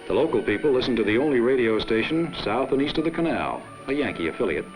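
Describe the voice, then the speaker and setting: A man reading scripted 1950s promotional film narration in a measured, formal announcer style on a vintage soundtrack. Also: medium pitch, animated, poor audio. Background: background music.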